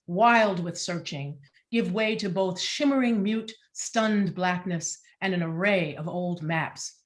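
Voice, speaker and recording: A woman narrates a play's stage directions in a scripted, dramatic style. Her voice is moderately low, and her delivery is deliberate and expressive. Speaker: playwright reading stage directions.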